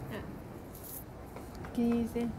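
Young woman streamer, fairly high voice, playful and chatty, faint background noise. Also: completely flat delivery, extremely slow. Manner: playful, thanks viewers, swears when frustrated. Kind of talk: casual live-stream chat while gaming.

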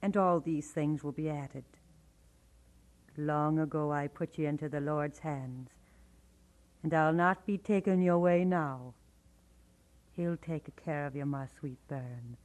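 Devout Scottish mother: low register, unhurried, gentle, radio-play tenderness. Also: poor audio. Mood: tender, reassuring. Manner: gentle, soothing, deliberate. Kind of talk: old radio drama acting.

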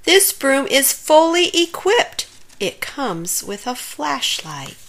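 A bold, bossy storybook hen in a witch hat, as a woman puts her on. She makes big, confident announcements in a bright, high voice. Her pitch leaps about. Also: sing-song, slow, exaggerated voice.

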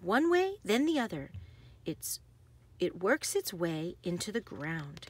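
A woman reads a children's picture book aloud expressively, with deliberate phrasing and emphasis on action words. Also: on the low side, big pitch swings, a little hiss or hum. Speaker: read-aloud host.

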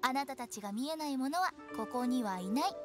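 A woman's voice: high-pitched, animated, over music. A woman voicing an eccentric, playful teenage girl funeral director in an anime game, theatrical and teasing. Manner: theatrical, teasing, switching to measured solemn explanation.

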